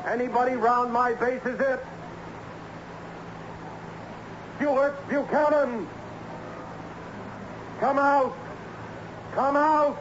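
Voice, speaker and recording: A man's voice: extremely high pitch, steady tone, slow, pauses often. An actor playing a frightened but determined man hunting someone dangerous in an old-time radio drama. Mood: desperate calling out. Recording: muffled, noisy old radio recording.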